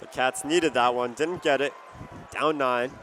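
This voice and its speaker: A man's voice: medium pitch, steady tone, unhurried, a bit bright, some chatter behind, a little hiss or hum. A young man giving colour commentary and analysis on a live basketball broadcast. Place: courtside in a basketball arena.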